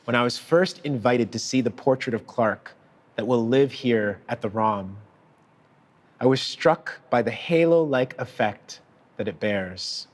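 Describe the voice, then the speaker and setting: A man reading a prepared tribute in a measured, deliberate and formal way. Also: medium pitch. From child of parents from Sierra Leone. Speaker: author giving a tribute. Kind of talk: prepared speech read aloud.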